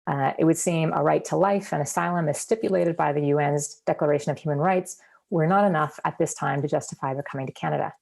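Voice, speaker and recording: Brief: woman scholar presenting a seminar paper, low voice with some fry, hesitant fillers. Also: darkish tone. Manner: measured, with frequent ums and uhs. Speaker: academic presenter. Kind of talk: reading a conference paper.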